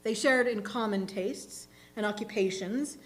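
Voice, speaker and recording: A woman reading a literary short story aloud in a deliberate, expressive narrating style. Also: on the high side. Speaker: reader in a readers' theatre. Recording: faint background noise and hum.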